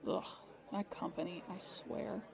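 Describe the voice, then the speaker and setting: A woman's voice: fairly low pitch, steady tone, a little slow, vocal fry. Woman as a dry, polite call center agent. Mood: dryly exasperated. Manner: customer-service polite, dry. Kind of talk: comedy audio drama.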